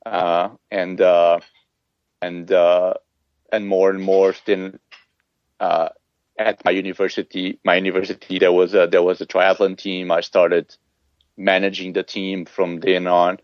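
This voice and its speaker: Deep monotone guy from portugal, lots of uh and restarts, muffled dry audio. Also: unhurried, no room sound. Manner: hesitant, many fillers and restarts. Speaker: triathlon coach, podcast guest. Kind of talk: casual conversational interview.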